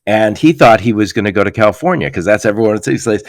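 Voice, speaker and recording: A man hosting a podcast interview, telling stories in a lively way and laughing often. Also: on the low side, quick pace, no echo, studio quality. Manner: animated storyteller, laughs often. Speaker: podcast host. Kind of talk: casual interview chat.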